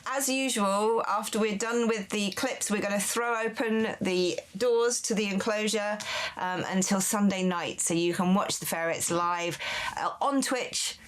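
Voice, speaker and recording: A middle-aged woman hosting a pet show in a chatty, upbeat way, a little tired. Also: mid-range voice. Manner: chatty, upbeat, laughs easily. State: tired after a vaccination. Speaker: show host and ferret keeper. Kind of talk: casual presenting to camera.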